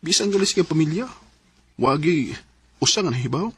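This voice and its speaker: An earnest young boyfriend in a Philippine radio drama. His voice is very husky and rough-edged, with a Cebuano accent. He speaks in a pleading, emotive way, devoted and sentimental. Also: on the high side, expressive, normal speed, no room sound, heavily acted. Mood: aggrieved.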